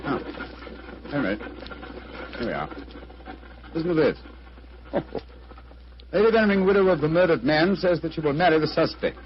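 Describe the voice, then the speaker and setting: An actor playing a keen, self-assured British gentleman detective, brisk and precise, in old-time radio style. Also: fairly high pitch, animated, a little hiss or hum, close to an everyday voice. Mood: eager. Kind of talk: 1940s radio acting. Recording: muffled old radio recording.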